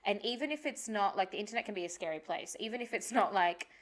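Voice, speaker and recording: A woman's voice: medium pitch, quick pace. A woman whose first language is Spanish, co-hosting a casual podcast, thinking aloud candidly and swearing freely. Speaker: podcast co-host.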